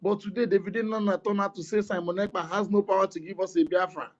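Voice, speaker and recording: A man's voice: high-pitched, fairly even intonation, fast, muffled recording. A Nigerian man giving an impassioned speech in an online audio room, emphatic and repetitive, firing rhetorical questions.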